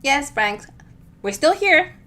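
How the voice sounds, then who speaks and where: A woman's voice: sits high, big pitch swings, takes it slowly, pushed and bright, voice pushed up, exaggerated voice. A woman voicing a cheery, spry elderly lady from a novel, sing-song and sweetly bossy. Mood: chipper, on phone.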